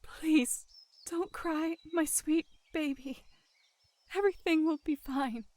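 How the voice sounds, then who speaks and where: A dying mother in a dramatic game scene. Her voice sits fairly high and moves very slowly. She is gentle, selfless and soothing, full of tender care. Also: no echo. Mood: soothing, pleading. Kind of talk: emotional dramatic game voice acting.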